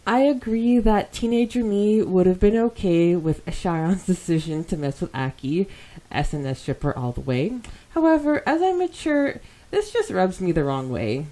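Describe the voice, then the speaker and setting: A woman hosting a podcast, chatting casually and reading listener comments aloud in an animated way. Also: fairly low pitch, moderate pace, low-quality recording. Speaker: podcast host.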